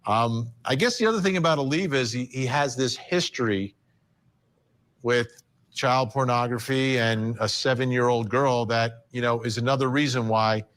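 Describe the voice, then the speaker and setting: A man's voice: mid-range voice, fairly bright tone. A man interviewing a guest, reading passages from a report aloud and then asking questions. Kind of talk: television interview.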